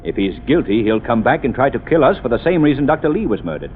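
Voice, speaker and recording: An actor playing a calm, shrewd gentleman detective in a 1930s radio drama, measured and commanding. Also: mid-range voice, narrow, tinny audio, slight background noise, natural voice.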